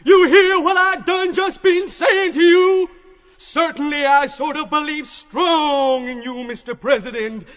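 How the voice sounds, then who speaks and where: High-pitched revival preacher, echoing. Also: male voice, fairly even intonation, measured pace, fairly bright tone. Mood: pleading fervour. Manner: hectoring, folksy revival-tent patter. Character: fervent American evangelist prophet Elijah, hawking salvation like a showman. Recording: muffled audio in a long echoing hall.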